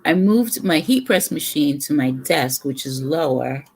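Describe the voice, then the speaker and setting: Low, gravelly New York woman chatting slowly. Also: lively intonation. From the Bronx, New York. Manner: chatty, rambling, talks to viewers between sips. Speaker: livestream host. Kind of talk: casual livestream chat. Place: home room with brick wall.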